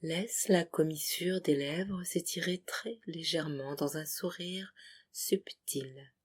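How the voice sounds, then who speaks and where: A yoga nidra guide leading a relaxation in short, separated phrases, soothing and hushed, with a breathy voice that at times nears a whisper. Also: medium pitch, slow pace.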